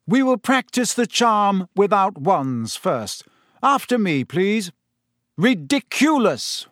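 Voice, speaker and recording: A man voicing a kindly, wry male teacher who speaks in a gentle, measured, amused way. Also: high voice, very animated, no echo, clean studio sound, pitched above the performer's own voice, exaggerated voice. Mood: patient, instructive.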